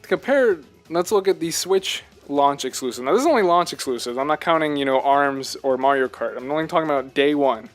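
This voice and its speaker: A man with a slightly high voice talks casually about games as a podcast co-host. He is earnest and emphatic, like a devoted fan making his case with rhetorical questions, over faint music. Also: expressive, fairly quick, few pauses. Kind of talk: casual video game discussion.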